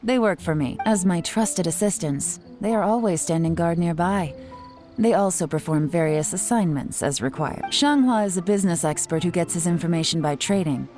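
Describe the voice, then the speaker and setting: A woman voicing a cool, shrewd young intelligence chief in a video game, speaking in a measured, confident, instructive way. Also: fairly low pitch, darkish tone, faint music behind, natural voice. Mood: composed. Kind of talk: video game character voice acting.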